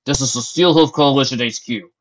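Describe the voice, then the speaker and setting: A man's voice: mid-range voice, brisk, close to an everyday voice. A man voicing a stiff, formal headquarters phone operator, on a dull lo-fi recording.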